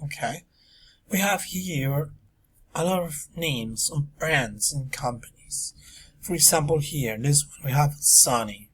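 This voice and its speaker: Male English teacher, husky tone, unhurried delivery. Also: fairly high pitch. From Peru. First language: Spanish. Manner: slow, drawn-out words for teaching. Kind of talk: recorded online English lesson.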